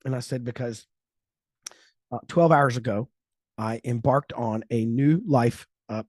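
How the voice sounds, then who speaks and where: A man in his mid fifties telling a story in casual podcast chat, animated, acting out quotes and laughing often. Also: medium pitch, unhurried, no echo. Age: 50s. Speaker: podcast host.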